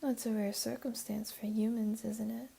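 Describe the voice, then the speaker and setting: A woman voicing a flirty, teasing, comforting jaguar beastling in an intimate ASMR roleplay, speaking softly and coaxingly in a half-whisper. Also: fairly high pitch, little pitch movement, normal speed, creaky, slightly rough, heavily acted. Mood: playful, warm.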